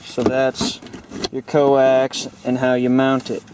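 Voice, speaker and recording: A man's voice: mid-range voice, monotone, slow, background noise. A young American man giving a casual hands-on how-to in an informal, offhand, loosely phrased way. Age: young adult. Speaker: host of a how-to car video. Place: outdoors in a driveway and inside a parked car.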